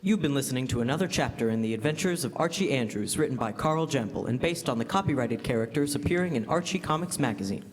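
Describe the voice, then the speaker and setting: A man's voice: mid-range voice, animated, quick pace, hardly any pauses, faint music behind. A young man announcing credits in the style of a classic radio drama announcer. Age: teens or young adult. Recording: noisy live stage hall, crowd, reverb.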